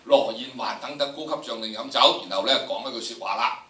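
Casting: man in his sixties, Hong Kong Cantonese, bright forceful lecturer, theatrical delivery, roomy hall sound. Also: mid-range voice, a little fast. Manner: theatrical, emphatic, rhetorical questions. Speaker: lecturer, drama educator. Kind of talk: animated lecture to students. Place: large indoor lecture theatre. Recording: echoey lecture hall, distant camera microphone.

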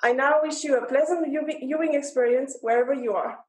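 A woman's voice: high-pitched, fairly even intonation, brisk. A young woman reading a formal scripted introduction as the host of an online panel, speaking into a laptop in a large empty hall. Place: large empty event hall with rows of chairs. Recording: echoey, roomy hall sound.

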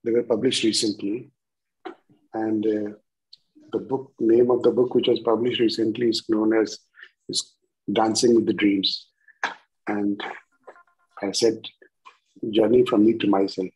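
A man in his sixties with an Indian accent introducing and reciting his own poems deliberately, in short phrases with pauses. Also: fairly low pitch, little pitch movement, darkish tone, muffled recording. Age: 60s. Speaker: poet reading own work. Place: indoors at home on a video call. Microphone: video-call device microphone.

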